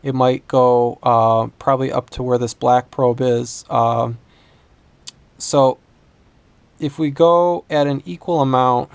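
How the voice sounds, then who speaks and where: A man giving an unscripted hands-on demonstration as a repair instructor, thinking aloud in a halting way. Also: mid-range voice, darkish tone, clean tone, dry recording. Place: indoors at a workbench.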